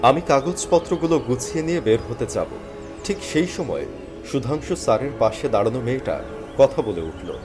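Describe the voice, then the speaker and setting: A man as an expressive, earnest young job seeker. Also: mid-range voice, normal speed, over music, natural voice. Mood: suspenseful. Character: earnest, dejected young male job seeker telling his story. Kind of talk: dramatic first-person scripted story narration with dialogue.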